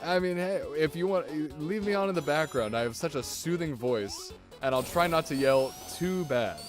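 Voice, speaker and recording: A man streaming a video game, chatting casually with viewers in an excitable, rambling way and swearing often. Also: a little high, normal speed. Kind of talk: casual gameplay commentary. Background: music.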